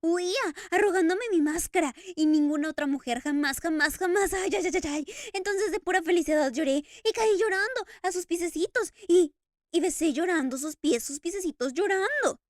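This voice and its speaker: Storybook figure: tearful masked man, fervent and stammering, pitched high and dry, put on by a woman reader. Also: animated, normal speed, a little mellow, dry recording. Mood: tearful rapture. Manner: impassioned, stammering, sobbing outbursts. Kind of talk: audiobook character reading.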